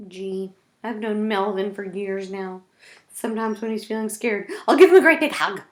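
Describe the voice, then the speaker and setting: A woman's voice: medium pitch, moderate pace, pitched above the performer's own voice, lightly acted. A woman voicing a loving, cuddly teddy bear in a storybook, tender and repetitive. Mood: affectionate.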